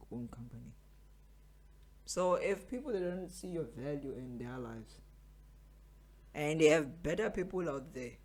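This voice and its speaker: A man's voice: on the high side, a little mellow, breathy edge. A man of twenty-three, hosting a podcast as a casual motivational monologue and repeating phrases for emphasis. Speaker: podcast host.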